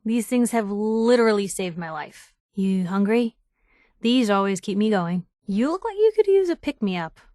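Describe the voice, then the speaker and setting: A woman's voice: mid-range voice, very animated, moderate pace, mellow, no echo, clean studio sound, natural voice. An actress playing a plucky young woman newspaper reporter in a video game, with a wry, teasing, conversational way of talking. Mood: friendly. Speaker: companion character voice actor.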